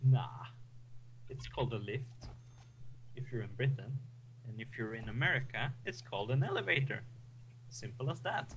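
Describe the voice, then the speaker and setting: A man's voice: mid-range voice, normal speed, long pauses, a little hiss or hum, low-quality recording. A young man from Sweden with a Swedish accent, chatting and rambling casually while playing a game. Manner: rambling, relaxed, with restarts. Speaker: game streamer.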